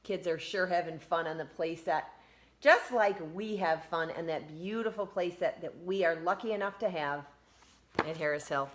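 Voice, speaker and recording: A woman's voice: on the low side, moderate pace. A woman teacher reading a story to young children, with simple, encouraging asides addressed to them. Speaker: elementary school teacher. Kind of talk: children's read-aloud with explanatory asides.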